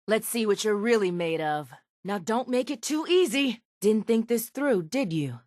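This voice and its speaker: An actress playing a sardonic, calculating raider chemist in a video game, speaking in a dry, measured, faintly condescending way. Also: female voice, mid-range voice, expressive, a little mellow, no echo, natural voice. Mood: taunting. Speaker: video game character voice actor. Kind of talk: scripted video game dialogue.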